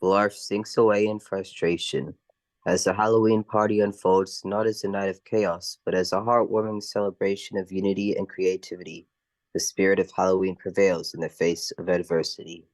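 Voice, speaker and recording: A man's voice: low voice, flat delivery, moderate pace, lightly acted. A man reading scripted narration in an amateur radio play. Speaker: narrator and performer.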